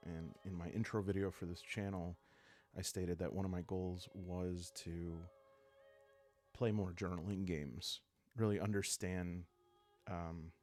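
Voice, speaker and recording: A man speaking calmly and soothingly in an unhurried way, hosting a relaxing role-playing series meant to help listeners fall asleep. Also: deep voice. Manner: calm, unhurried, soothing delivery. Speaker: host of a solo role-playing video series. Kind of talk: calm, sleep-aid ASMR narration to viewers. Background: background music.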